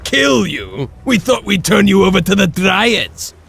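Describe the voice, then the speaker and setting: An actor playing a feisty, gruff male wolf tracker in a video game, excitable and scornful with a raspy voice. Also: high-pitched, a little slow, heavily acted. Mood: scornful, mocking. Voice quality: raspy, gravelly. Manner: excitable, exclamatory.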